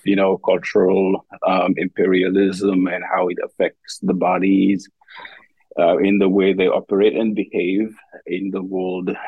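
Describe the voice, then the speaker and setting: Senegalese man, Wolof speaker, deep husky voice, reflective interview guest who feels his way through ideas. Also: darkish tone, no room sound, poor audio. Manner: thoughtful, searching for words, frequent restarts. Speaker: guest, dance professor. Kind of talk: conversational interview.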